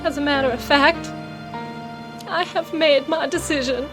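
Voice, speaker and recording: An actress playing a conflicted but determined tycoon's daughter in an audio drama, earnest and exasperated. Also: female voice, high-pitched, a little slow, over music. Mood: resolute.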